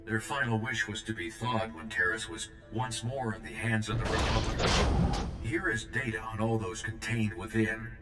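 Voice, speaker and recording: An actor playing an ancient, formal, literal-minded security droid in a video game, with robotic processing on the voice. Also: male voice, fairly low pitch, moderate pace, roomy sound, over loud music. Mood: formal, flat. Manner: formal, procedural, flat delivery. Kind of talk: game character dialogue.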